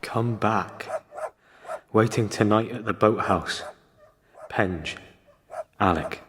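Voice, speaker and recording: Young gamekeeper, earnest, yearning, servant's formality, radio drama, cavernous reverb. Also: male voice, on the low side, slow, background noise. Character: earnest young servant gamekeeper voicing his own letter. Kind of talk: radio drama letter reading. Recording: long hall-like reverb on voice.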